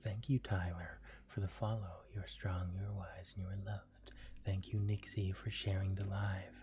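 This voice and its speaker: A man with a deep, mellow voice whispers softly through a livestream. His tone is dark and relaxed, and he speaks in a gentle, comforting ASMR way. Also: normal speed. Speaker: livestream host.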